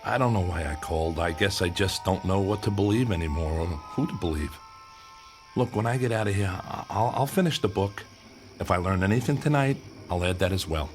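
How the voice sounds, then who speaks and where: An actor playing a skeptical, sarcastic writer who is rattled and argumentative, in an audio drama. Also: male voice, low-pitched, fairly quick, soft background music, close to an everyday voice. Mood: defeated. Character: skeptical, rattled male author writing about UFOs.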